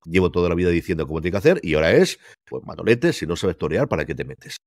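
A man's voice: low voice, lively intonation, quick pace, darkish tone, no room sound, studio quality. A man from Spain co-hosting a casual TV series review chat over a video call, talking emphatically and firing off rhetorical questions. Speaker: TV series critic co-host. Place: home room, remote call. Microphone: headset and desk mic over video call.

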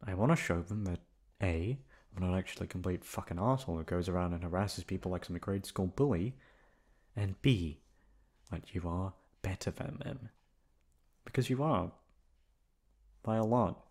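A young man playing a cheeky, soft-hearted delinquent college guy in a casual, hesitant ASMR boyfriend roleplay. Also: sits low, normal speed, long pauses. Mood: hurt, earnest. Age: young adult. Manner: casual, hesitant, teasing, profane. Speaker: voice actor.

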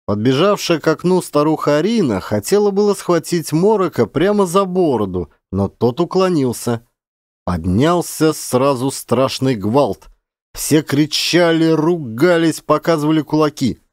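A man's voice: fairly high pitch, animated, unhurried, no room sound, clean studio sound. A man narrating an audiobook as an actor, with theatrical, emphatic storytelling. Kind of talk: dramatic scripted narration.

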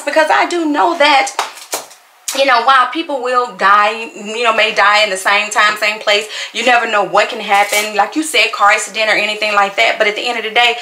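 A woman's voice: on the high side, sharp tone, roomy sound, a little hiss or hum. A woman in her forties vlogging while doing her makeup, talking back to a commenter in a sassy way and repeating herself for emphasis. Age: 40s. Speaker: YouTuber. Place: bedroom at home, indoors. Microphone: fixed camera at desk.

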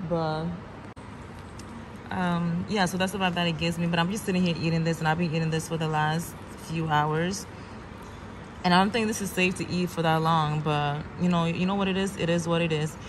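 A young woman from Guinea-Bissau chatting playfully to her viewers on a travel vlog, her voice a bit hoarse. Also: on the low side, steady tone, moderate pace, noisy background. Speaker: travel vlogger. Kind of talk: casual vlog chat to camera. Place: hotel room and lobby at night. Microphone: handheld phone camera.

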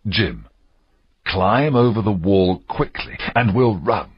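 A man voicing a stern but kindly gentleman doctor in an audiobook, grave and measured. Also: fairly low pitch, animated, lo-fi sound, a little hiss or hum. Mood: urgent. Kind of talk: audiobook character acting.

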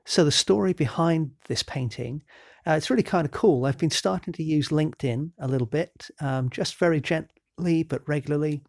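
Man, has a cold, gravelly, dry close sound, relaxed painting tutorial narration. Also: a little high, no echo. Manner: relaxed, explanatory, with frequent ums and self-corrections. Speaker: painting instructor. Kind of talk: real-time painting demo narration.

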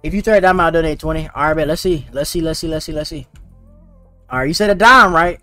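A man's voice: a little high, quick pace, bright, forceful tone, no echo, soft background music. A teenage boy streaming a game, chatting casually and slangily with his viewers. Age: teens. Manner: slangy and laid-back, talking to chat. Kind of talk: casual live-stream chat while gaming.

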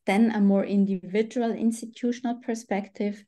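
A woman's voice: medium pitch, fairly even intonation, normal speed, mellow. A woman in her forties from Switzerland with a Swiss German accent, giving an explanatory academic presentation on a video call. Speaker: guest researcher. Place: indoors at home on a video call. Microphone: computer microphone on video call.

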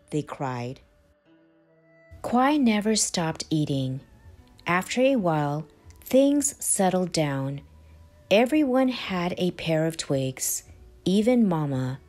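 A woman with a lowish voice reads a children's storybook aloud. Her delivery is animated and swings widely in pitch, taken at an unhurried, measured pace. Music plays behind her. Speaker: children's book reader. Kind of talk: expressive storybook read-aloud. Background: background music.

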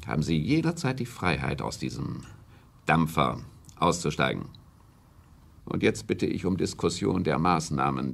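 An actor playing a stern, authoritative prime minister in a radio play, speaking formally and deliberately, as if lecturing. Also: male voice, very deep voice, vocal fry, exaggerated voice. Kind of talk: German radio play acting.

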